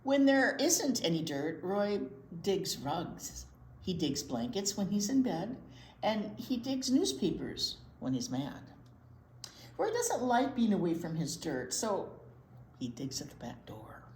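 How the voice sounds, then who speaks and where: A woman reading a picture book aloud to young children in an expressive way, pausing to explain words simply. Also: mid-range voice. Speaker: library storytime presenter, children's teacher. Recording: roomy echo, light background noise.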